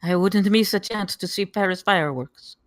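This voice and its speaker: A poised, dangerous huntress acted by a woman in a dramatic table read. Her voice is mid-pitched, with a dry, close sound. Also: normal speed, no room sound, lightly acted. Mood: intrigued.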